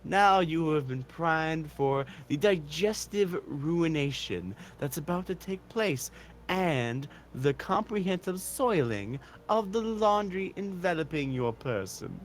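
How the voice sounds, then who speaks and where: A man voicing a self-serious, pathetic, dopey troll in a comic chat-log reading, with pompous, wordy, halting speech. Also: on the high side, expressive, a little hiss or hum. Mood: smug menace.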